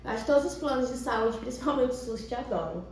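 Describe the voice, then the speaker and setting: Echoey, high-voiced Brazilian woman chatting. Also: lively intonation, quick pace, a little mellow, slight background noise. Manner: playful, teasing. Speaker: podcast co-host. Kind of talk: casual chat. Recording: echoey room, distant microphone.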